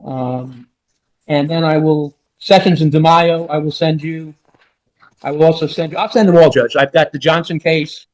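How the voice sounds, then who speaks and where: A man's voice: on the high side, fairly even intonation, normal speed, rough recording. A man speaking as a lawyer in court, politely addressing the judge and citing cases. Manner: deferential, polite to the bench. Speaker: lawyer arguing before a judge. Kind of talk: courtroom argument, citing case law.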